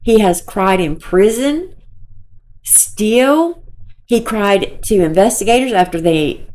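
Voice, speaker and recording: Squeaky-voiced woman, casual commentator, slow unhurried delivery, rambly. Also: animated. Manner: rambling, repeats herself, soft filler-laden talk. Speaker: commentary creator.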